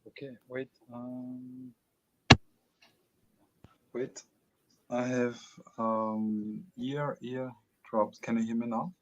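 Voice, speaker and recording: Hesitant caller from Austria, dull line. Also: male voice, medium pitch, steady tone, drawn-out words, pauses often, slight background noise. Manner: hesitant, many fillers, soft-spoken. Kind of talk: casual call-in chat. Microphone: remote call audio. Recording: soft, dull call line.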